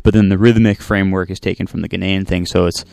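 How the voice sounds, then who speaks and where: A man's voice: sits low, fairly even intonation, fast, vocal fry, darkish tone, no room sound, studio quality. A man who is a guest musician on a radio show, explaining his music in a laid-back way, full of "like" and "um". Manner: laid-back, filler-heavy, lots of "like" and "um". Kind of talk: casual interview chat. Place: radio studio.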